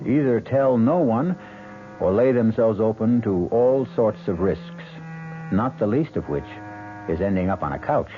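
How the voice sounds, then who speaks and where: A man hosting a radio mystery drama, narrating in a deliberate, ominous, theatrical way. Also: fairly low pitch, lively intonation, phone-quality sound, noisy background. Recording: thin old radio broadcast, music underneath.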